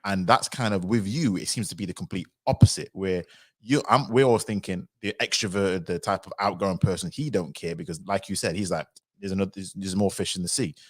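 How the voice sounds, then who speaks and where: A man with a deep, low voice hosts a relaxed-format discussion. He reasons aloud in a reflective, step-by-step way, and the sound is dry with hardly any room on it. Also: a little fast, breathy edge, dry recording. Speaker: livestream host. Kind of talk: casual conversational discussion.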